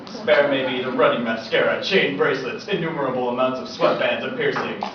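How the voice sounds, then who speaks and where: A man's voice: mid-range voice, fairly quick. A man reading a comic story aloud at a convention panel, dramatically and with mock seriousness. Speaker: fan fiction reader at a convention panel. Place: large indoor convention room. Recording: echoey hall, distant, dull, loud crowd noise. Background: loud audience noise.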